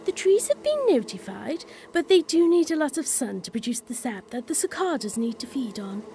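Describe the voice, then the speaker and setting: A woman performs an officious spirit of springtime with a high, wildly varied pitch. She speaks in careful, formal phrases like a chairperson. It is an amateur radio play, with clear noise and chirping birds behind her. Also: big pitch swings, moderate pace, mellow. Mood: formal, composed. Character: Spring, prim orderly female season spirit chairing a meeting.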